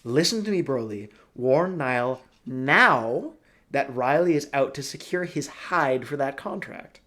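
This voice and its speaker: A man's voice: medium pitch, animated, unhurried, pushed and bright, pitched above the performer's own voice. A man voicing a proud, determined woman, the brain of a starship, in a storybook reading with a firm, insistent manner. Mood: urgent.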